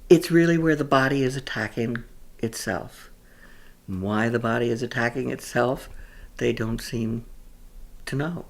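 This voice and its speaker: A woman in her seventies from New York gives a documentary interview. She speaks reflectively, pausing with small fillers as she gathers her thoughts. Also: extremely low pitch. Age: 70s. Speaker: children's advocate.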